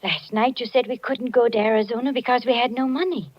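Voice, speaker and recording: An actress playing a worried, morally troubled wife with emotional, dramatic delivery in 1940s radio style. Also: female voice, a little high, quick pace, lightly acted. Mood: anxious. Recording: dull, band-limited 1940s radio recording.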